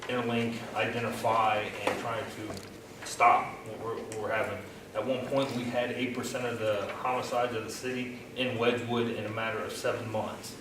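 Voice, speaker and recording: A man who is a police officer, speaking plainly at a community meeting. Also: fairly low pitch. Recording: echoey room, distant mic, noisy.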